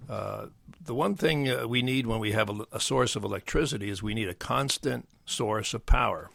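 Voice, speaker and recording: An older man with a bass voice, explaining as an interview guest in a halting way with many fillers and restarts. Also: a bit bright, no room sound. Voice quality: gravelly bass voice. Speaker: guest, nuclear engineer. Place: dry podcast studio. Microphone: studio mic.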